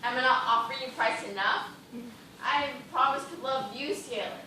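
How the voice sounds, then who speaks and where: A woman playing a proud, desperate, delirious female dancer on stage, speaking slowly and imploringly with melodrama. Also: high-pitched, bright, forceful tone. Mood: seductive pleading. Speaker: stage actor. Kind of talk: theatrical stage acting. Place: indoor theatre stage, recorded from afar. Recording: echoey stage, distant microphone, noisy.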